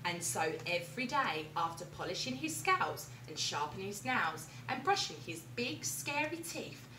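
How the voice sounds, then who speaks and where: A young woman teacher reading a picture book aloud with lively, expressive storytelling, in a classroom reading corner recorded from a distance. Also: mid-range voice, moderate pace, slight background noise. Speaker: primary school teacher. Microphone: camera at a distance. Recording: echoey room, mic far off.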